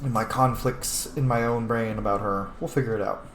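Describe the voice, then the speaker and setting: A man's voice: medium pitch, fairly even intonation, normal speed, a bit bright, echoey room, a little hiss or hum. A man giving casual, sweary let's play commentary as a gaming host, informal and full of wisecracks. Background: game music.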